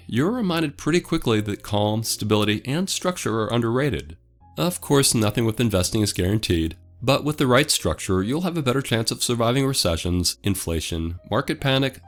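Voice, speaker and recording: A middle-aged man with a low voice runs his own investing channel and talks through a scripted explainer at a steady, measured pace. His voice is slightly off from a cold. Faint music plays underneath. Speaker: dividend investing channel host.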